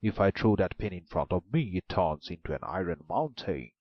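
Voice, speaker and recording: A man voicing a big, gruff male ogre who questions the girl suspiciously, loud and slow-spoken. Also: low-pitched, very animated, no echo, muffled recording.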